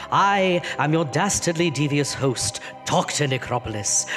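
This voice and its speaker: A campy ghoulish male horror host relishes each alliteration theatrically. His delivery is slow and animated, with clear music behind him. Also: medium pitch. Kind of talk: campy horror radio hosting.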